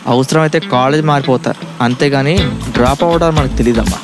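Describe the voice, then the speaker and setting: A man's voice: mid-range voice, fairly quick, over music, close to an everyday voice. A man playing an anxious, lovestruck young college student in a comedy audio drama, bantering in exasperated slang. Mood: exasperated, defensive. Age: young adult.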